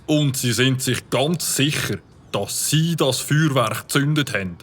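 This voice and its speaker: A stern, officious policeman, voiced by a man questions in a Swiss German accent. He speaks slowly and with authority, in a mid-pitched voice. The tone fits a children's audio drama. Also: a bit bright. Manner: officious, commanding.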